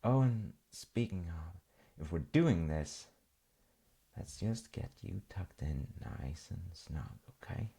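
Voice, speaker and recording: A man playing an affectionate dad in a bedtime audio roleplay, speaking softly and hesitantly as he soothes his child. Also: low-pitched, big pitch swings, takes it slowly, vocal fry, slightly rough, full character voice. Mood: cosy, soothing. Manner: soft, hesitant, murmuring.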